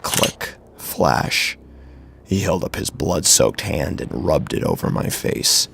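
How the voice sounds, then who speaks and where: A man's voice: extremely low pitch, animated, slow pace, gravelly, fairly bright tone, a little hiss or hum. A man narrating a horror story in the first person, in a grave, brooding, dramatic manner.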